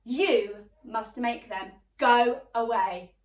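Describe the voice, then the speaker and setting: Woman playing scandalised, high-pitched, emphatic townsfolk. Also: very slow, far from the mic. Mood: indignant. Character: indignant townsfolk complaining about pirate neighbours. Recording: roomy, echoey, away from the mic.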